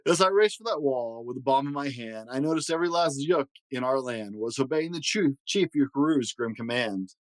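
A man's voice: mid-range voice, expressive, moderate pace, hardly any pauses, no echo. Man reading a rhyming storybook, close dry sound. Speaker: storybook reader. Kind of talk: expressive read-aloud of a rhyming children's story.

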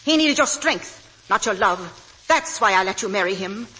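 A woman's voice: medium pitch, animated, normal speed, pushed and bright, breathy edge, poor audio. An actress playing a fiercely devoted, accusing middle-aged mother in 1940s radio melodrama style. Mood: bitterly accusing. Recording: vintage radio broadcast, faint hiss.

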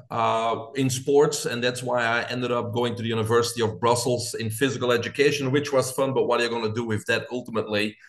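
A middle-aged man from Belgium with a Belgian accent, a coach who talks through his life story in an earnest, motivational way as a podcast guest. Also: mid-range voice. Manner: earnest, motivational, speaks in lists. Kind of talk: interview storytelling.

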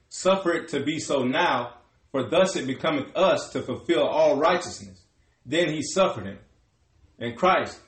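A man's voice: on the high side, fairly bright tone. A man reading Bible verses aloud in a formal, declamatory way as a scripture reader. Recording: echoey room.

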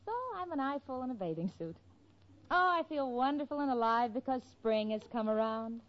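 An actress playing a level-headed, wisecracking young roommate in a 1940s radio sitcom, breezy and wry. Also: female voice, a little high, animated, brisk, lightly acted. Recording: dull, noisy 1940s radio recording.

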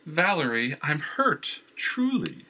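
A man's voice: mid-range voice, big pitch swings, normal speed, sharp tone, husky, voice pushed up, exaggerated voice, low-quality recording. A man voicing a smug, theatrical male theater owner who mocks and feigns hurt in an audiobook story. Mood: mocking. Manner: mocking, falsely wounded.

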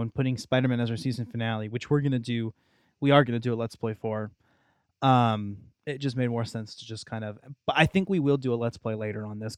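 Male, young adult. Smooth, chatty, rambling podcast co-host, recorded close and dry. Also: mid-range voice, a little mellow, no echo. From Texas, United States. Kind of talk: casual video game discussion.

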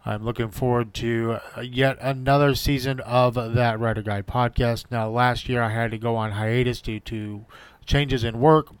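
A man's voice: medium pitch, clear, resonant tone, no echo. A man hosting his own podcast, giving an earnest solo update and listing his points in repeated runs. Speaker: podcast host.

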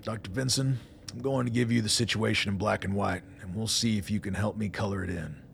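Man playing a gravelly, low-voiced army colonel. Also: steady tone, a little hiss or hum. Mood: brisk, commanding. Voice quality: gravelly, husky. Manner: measured, authoritative. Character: weary, commanding middle-aged male army colonel, measured and fatherly. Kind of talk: radio drama acting.

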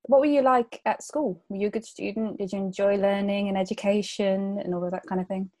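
A woman interviews in a soft-edged, mellow voice at a medium pitch. She asks conversational questions gently and a little tentatively. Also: fairly even intonation, a little fast. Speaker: podcast interviewer.

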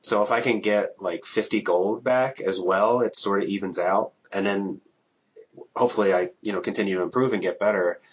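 A man hosting a podcast from home, talking in a relaxed, hesitant way with many ums. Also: fairly low pitch, normal speed, husky, muffled recording. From Minnesota. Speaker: podcast host. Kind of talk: casual interview.

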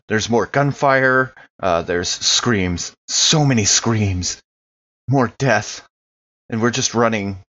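A man playing in a tabletop roleplaying podcast, recounting events in character in a halting, shaken way on a slightly lo-fi microphone. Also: fairly low pitch, a bit bright. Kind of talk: in-character first-person recounting.

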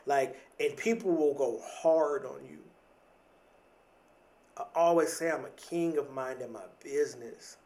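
A man's voice: mid-range voice, measured pace, darkish tone, far from the mic. A man in his thirties talking in a reflective, casual podcast monologue, often repeating and restarting words, with casual slang. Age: 30s.

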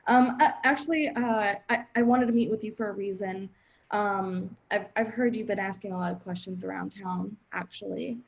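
A woman's voice: medium pitch, normal speed, creaky, highs cut off, lightly acted. A woman improvising a comedy role as a bold, boastful New York gangster. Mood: cagey, casual.

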